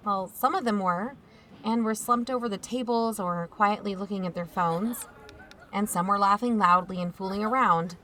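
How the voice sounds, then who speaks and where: An actress playing a watchful, protective aunt in a first-person audio drama, conversational and wry. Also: female voice, mid-range voice, normal speed, bright, forceful tone, a little hiss or hum, natural voice, rough recording. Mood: uneasy narration. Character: watchful, protective aunt narrating her own mystery.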